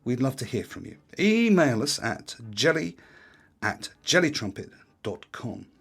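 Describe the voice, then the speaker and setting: A man's voice: mid-range voice, very animated, measured pace, creaky, fairly bright tone, breathy edge. A man hosting a podcast, delivering a scripted, confiding monologue in a reflective, plain-spoken way. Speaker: podcast host.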